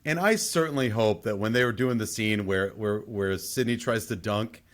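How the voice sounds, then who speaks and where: A man's voice: fairly low pitch, normal speed. A man giving blunt, opinionated takes in a casual film discussion, with some swearing.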